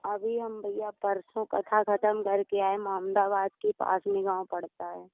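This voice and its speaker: A woman's voice: mid-range voice, expressive, a little slow, clean tone, no room sound. A woman speaking earnestly on the phone in a deferential, pleading manner, readily agreeing with the other person. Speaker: religious storyteller. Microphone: phone call. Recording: narrow tinny phone call recording.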